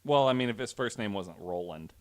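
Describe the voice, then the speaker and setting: A man's voice: fairly low pitch, expressive, fairly quick. A man bantering casually as a podcast panelist, wisecracking and swearing as he riffs. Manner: wisecracking, profane, riffs in mock voices.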